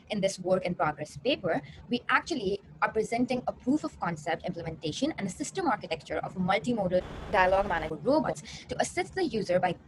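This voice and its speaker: A young woman, a PhD student, giving a rehearsed research presentation. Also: medium pitch. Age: young adult. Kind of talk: rehearsed conference talk. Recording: clearly noisy recording.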